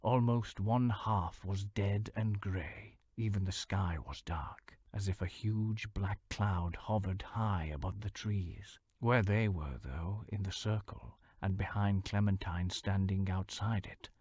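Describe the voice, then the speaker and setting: A man reads a fantasy novel aloud in a deep, slightly husky voice. His storytelling is measured and steady, dipping into a hushed near-whisper for suspense. The recording is close and dry. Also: dry recording. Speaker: audiobook narrator. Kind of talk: scripted fantasy narration.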